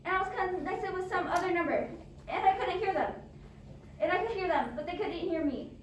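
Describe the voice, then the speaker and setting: Echoey far-off recording, lady playing a really high-voiced nervy bossy invalid wife, old radio play style. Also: expressive. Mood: exasperated. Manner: agitated, halting, insistent. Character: nervous, imperious, demanding invalid wife on the telephone. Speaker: amateur drama club actor. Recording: echoey, distant, noisy amateur recording.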